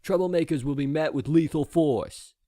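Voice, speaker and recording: A man's voice: fairly high pitch, normal speed, mellow, no room sound, studio quality, voice pushed up, natural voice. A man voicing a stern armed pony soldier in an audio story, barking commanding orders. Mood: stern, threatening.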